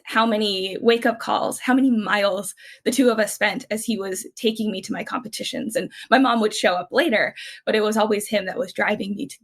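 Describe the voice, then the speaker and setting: A woman's voice: mid-range voice, gravelly, a bit bright. A woman in her thirties from California, a podcast guest telling family stories in a chatty, rambling way. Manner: chatty, many restarts and fillers, laughs easily. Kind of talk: casual conversational storytelling.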